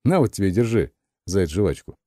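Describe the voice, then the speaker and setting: A man's voice: low-pitched, very animated, normal speed, mellow, dry recording, studio quality. A man voicing a falsely friendly young man in an audiobook, casual and slangy as he coaxes a child. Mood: chummy, coaxing.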